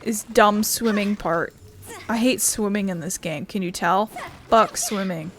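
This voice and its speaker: A woman's voice: medium pitch, unhurried, slight background noise. A woman giving casual let's-play commentary, grumbling bluntly and swearing as she plays. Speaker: gaming video host. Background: game music.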